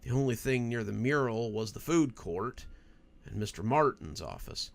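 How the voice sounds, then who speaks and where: A man with a gravelly voice, hosting and narrating scripted horror stories. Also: medium pitch, animated, moderate pace. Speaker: horror story narrator and channel host.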